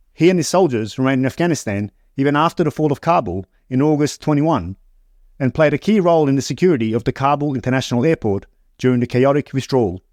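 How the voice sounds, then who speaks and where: A man hosting an interview podcast, reading an introduction and then asking questions in a measured way, often restarting sentences. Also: mid-range voice, darkish tone, dry recording, clean studio sound. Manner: measured, stumbling restarts when unscripted. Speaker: podcast host.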